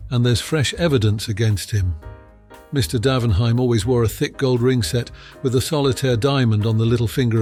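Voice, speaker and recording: Man playing a blunt, mid-pitched police inspector. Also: darkish tone, lightly acted. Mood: brisk, confident. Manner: matter-of-fact, brisk, colloquial. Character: blunt, confident male Scotland Yard police inspector. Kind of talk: acted audiobook dialogue.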